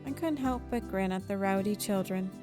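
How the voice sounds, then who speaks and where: A woman reads story narration aloud in an expressive storytelling style, with a medium-pitched voice. She occasionally stumbles and corrects a word as she goes. Music plays behind her. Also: moderate pace. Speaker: streamer reading a visual novel aloud. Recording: background music under the voice. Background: game music.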